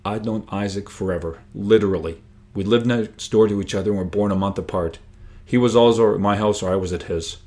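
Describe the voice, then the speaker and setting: A man reading his own book aloud in an expressive storytelling style, lifting the dialogue with animated emphasis. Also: on the low side, brisk.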